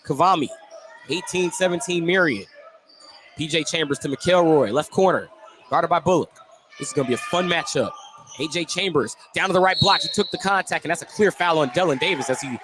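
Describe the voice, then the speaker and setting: A man's voice: fairly high pitch, a little fast. A man doing live basketball play-by-play on the radio, calling the action in short, clipped phrases. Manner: clipped running call of the action. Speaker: play-by-play commentator. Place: indoor gym during a game. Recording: noisy live sports venue broadcast.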